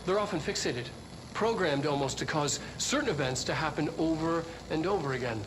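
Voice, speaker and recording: A man playing a cryptic narrator in a horror video, explaining cursed objects in a measured, clinical way. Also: a little high, noisy background, lightly acted. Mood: ominous, clinical.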